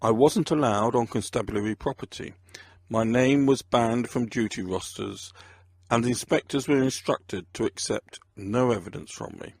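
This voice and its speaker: A man's voice: medium pitch, normal speed, darkish tone, slight background noise. A man reading scripted first-person narration of a crime story.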